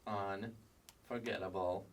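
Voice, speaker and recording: An actor playing a dim, vain kindergarten boy with a slow, simple, deadpan manner in a staged comedy sketch reading. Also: low voice. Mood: self-satisfied. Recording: distant stage mic.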